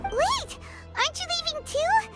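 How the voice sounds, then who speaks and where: A woman voicing a tiny, excitable, childlike fairy companion in a video game, with a squeaky girlish voice. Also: unhurried, soft background music, heavily acted. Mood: alarmed.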